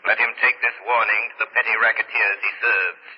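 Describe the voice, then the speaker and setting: A dull 1930s radio recording carries a low, husky male avenger. He is invisible and commanding, declaiming in solemn, formal phrases with menace underneath. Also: level tone, brisk. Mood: menacing. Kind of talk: 1930s radio drama acting.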